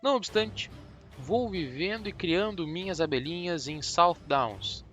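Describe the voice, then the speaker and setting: A man playing a calm, ironic, self-assured detective in an audiobook. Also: on the high side, a little slow, soft background music. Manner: dry, mocking, self-assured.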